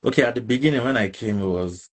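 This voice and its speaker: A man's voice: medium pitch, fast talker. A young man with a Nigerian accent, answering casually as a student in an interview and often adding little tag questions. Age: young adult. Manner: informal, frequent tag questions like "right?" and "you get".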